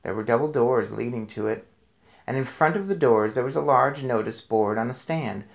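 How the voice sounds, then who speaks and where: Creaky-voiced man reading a storybook. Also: mid-range voice, normal speed. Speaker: storybook narrator. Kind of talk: expressive read-aloud narration.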